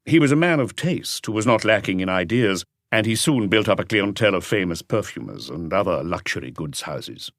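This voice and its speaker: Man reading as an earnest, assured doctor, animated intonation. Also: low voice, brisk, hardly any pauses, no echo, clean studio sound. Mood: explanatory. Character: earnest male doctor, talkative and conscientious family friend.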